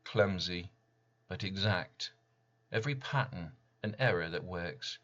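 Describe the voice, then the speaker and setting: Male poet, lowish pitch, firm bright tone, solemn and deliberate dramatic recital in short phrases, slightly dull sound. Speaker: poet reading own work.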